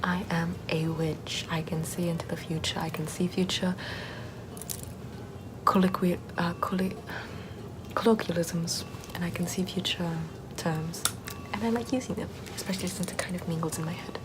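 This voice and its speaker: A woman's voice: lowish voice, fairly even intonation, moderate pace, noisy background, lightly acted. A woman playing a chill, sardonic Puritan-era Salem witch in a whispery, casual ASMR roleplay. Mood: wry, relaxed. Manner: hushed, often whispering, wry and casual.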